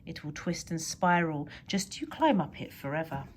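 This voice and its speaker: A woman's voice: low voice, very animated, moderate pace, slight background noise. Protective fairy godmother acted by a woman storyteller, urgent and rhythmic, for a children's poem reading. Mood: earnest, urging. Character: protective fairy godmother, earnest, giving a magic gift. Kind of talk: poem read aloud to children.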